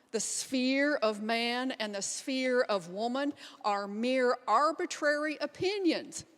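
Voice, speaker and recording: Woman acting 19th-century women's rights orator, highish voice, slow deliberate declamation, earnest, reverberant hall, stage reading. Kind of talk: readers theater monologue, declamatory.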